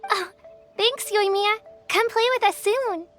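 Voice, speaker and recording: Very high-pitched confident little kid. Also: female voice, a little slow, a bit bright, faint music behind. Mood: friendly. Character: confident, trusting small child. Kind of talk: animated video game voice acting.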